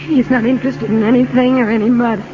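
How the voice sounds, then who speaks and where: An actress playing a loving, anxious young wife with an American accent, earnest and gentle, in a 1950s radio drama. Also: female voice, fairly high pitch, steady tone, fast, natural voice. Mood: distraught. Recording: dull 1950 radio broadcast, music behind.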